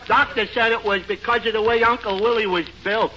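An actor playing a slow-witted, good-natured tavern regular, halting and oblivious, in a 1940s radio comedy. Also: male voice, high voice, steady tone, bright, forceful tone. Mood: dopey sympathy. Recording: muffled, noisy 1940s radio recording.

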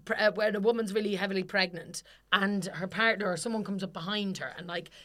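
A young woman, co-hosting a casual comedy podcast and ranting animatedly with lots of swearing, her voice slightly husky. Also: medium pitch, steady tone, a little fast, pushed and bright. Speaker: podcast co-host.

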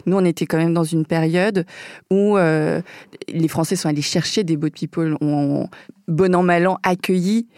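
A woman in her thirties in a casual conversation, speaking thoughtfully and pausing to search for words. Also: lowish voice, steady tone, a little mellow, no echo, clean studio sound. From France. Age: 30s. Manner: thoughtful, many fillers and restarts.

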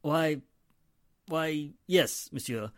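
A man's voice: on the high side, very slow, a bit bright, dry recording, clean studio sound. A man playing a deferential, fussy Frenchman of a fancy restaurant in an old-time radio drama. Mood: anxious, deferential.

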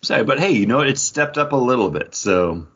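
A man's voice: mid-range voice, expressive, fairly quick, fairly bright tone, breathy edge. A man talking casually as a co-host on a podcast. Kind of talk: casual chat. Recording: dull, lo-fi podcast audio.

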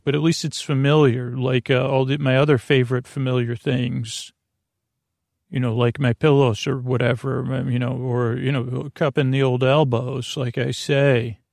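A man hosting a bedtime podcast in a casual, meandering monologue, hesitant and drifting off into digressions, with a gravelly voice. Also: medium pitch, a little mellow, no echo, studio quality. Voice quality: gravelly, creaky. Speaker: podcast host.

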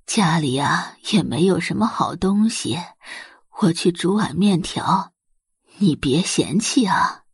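A woman voicing a kindly, humble old village grandmother in an audiobook, gentle and doting, with a breathy voice. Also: fairly low pitch, lively intonation, no echo, clean studio sound, heavily acted. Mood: warm, fussing. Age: older. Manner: slow, fussing, gentle. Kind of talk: audiobook character acting.

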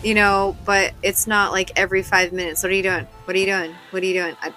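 Bright-voiced American woman, blunt and casual. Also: mid-range voice, flat delivery, fast, soft background music, rough recording. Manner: blunt, sweary, joking. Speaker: podcast host. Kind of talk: casual chat.

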